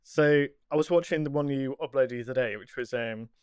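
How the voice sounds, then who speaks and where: A man's voice: medium pitch, brisk, a little mellow, clean tone, no echo, clean studio sound. A man co-hosting a podcast, asking questions in a friendly way with small hesitations. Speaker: podcast host. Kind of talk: casual podcast chat.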